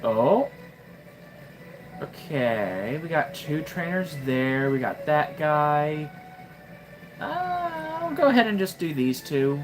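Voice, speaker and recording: A woman's voice: low voice, big pitch swings, slow, pauses often, noisy background. A woman in her twenties casually commenting on her gameplay during a live stream, wry and joking, often exclaiming to herself. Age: 20s.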